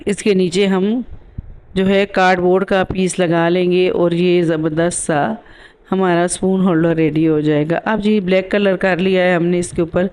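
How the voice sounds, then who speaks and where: A woman's voice: lowish voice, steady tone, moderate pace, a little mellow. A woman with a sore throat giving a casual voice-over for a DIY craft tutorial as the host.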